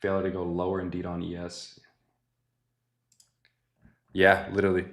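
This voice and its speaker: A young man with a low, deep voice runs a live trading stream. He talks in a loose, laid-back way to his chat and thinks out loud at his desk mic, often trailing off into a soft mutter. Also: monotone, normal speed. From Mexican family. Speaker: livestream host trading futures. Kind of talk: casual live trading commentary.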